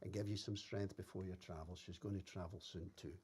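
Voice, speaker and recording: A man's voice: low voice, fairly even intonation, fairly quick, slightly rough. A man hosting a live music stream, reading viewers' comments and giving effusive thanks and blessings. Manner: warm, effusive praise and blessings. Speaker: host.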